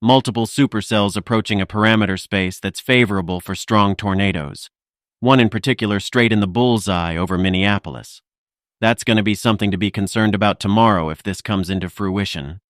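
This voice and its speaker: A man playing a composed weather livestreamer, giving measured, informal technical forecast commentary. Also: on the low side, a bit bright, dry recording, studio quality, close to an everyday voice.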